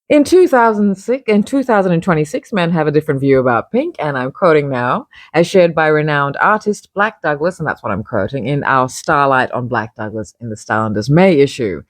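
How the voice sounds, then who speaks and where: A woman's voice: deep voice, a little mellow, dry recording, studio quality. A woman reading her own article aloud, breaking off into wry, chatty, opinionated asides and interrupting herself as she goes. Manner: digressive, wry, self-interrupting. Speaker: magazine publisher reading own feature article.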